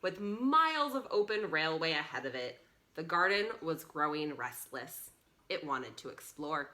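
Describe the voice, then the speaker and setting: A woman's voice: deep voice, big pitch swings, a little slow, bright, forceful tone. A woman presenting a read-along story for young children, reading expressively and adding encouraging asides to her little listeners.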